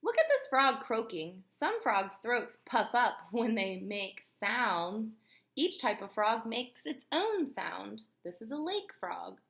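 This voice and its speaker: Bright-voiced woman teaching through a children's read-aloud. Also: a little high, slow, creaky. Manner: expressive, instructive, asks the listener questions.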